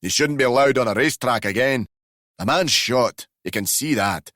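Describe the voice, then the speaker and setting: A man voicing a wizened old transporter driver with a Glasgow accent, loud and indignant. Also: medium pitch, normal speed, no room sound, clean studio sound, voice pushed up, natural voice. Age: older.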